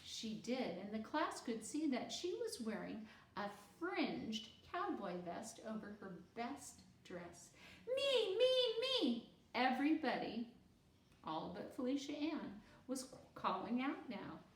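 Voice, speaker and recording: A woman reading a children's book aloud as a storyteller, in an animated, expressive manner. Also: fairly high pitch, slow, echoey room, recorded from a distance. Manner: animated, acts out character dialogue.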